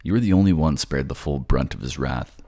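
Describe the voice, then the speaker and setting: A man's voice: very deep voice, brisk, dark tone. A man reading scripted narration for a fantasy audio drama as its narrator. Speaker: narrator and voice actor.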